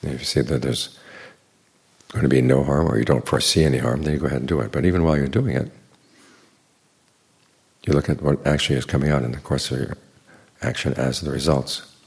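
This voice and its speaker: A man with a very deep voice full of gravelly fry, a little husky at the edges. He teaches Buddhist ideas in a calm, explanatory way, often restarting his phrases. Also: quick pace, long pauses. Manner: calm, explanatory, repeats small words. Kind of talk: Dharma talk.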